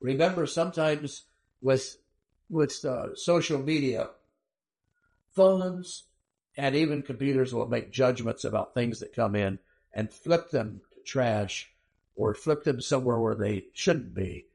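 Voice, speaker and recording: A man delivers a recorded Bible lecture at a middle pitch, his voice gravelly with vocal fry. He sounds slightly hoarse. He teaches in a measured, emphatic way and poses rhetorical questions to his listeners. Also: darkish tone. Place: small room, not a classroom.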